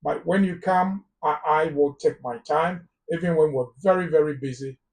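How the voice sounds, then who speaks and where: A man's voice: fairly high pitch, moderate pace, a little mellow, distant mic, rough recording. Emphatic Ghanaian man, a little off-mic. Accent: Ghanaian English. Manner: emphatic, repeats words for stress. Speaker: immigration lawyer guest. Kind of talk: live phone-in advice talk.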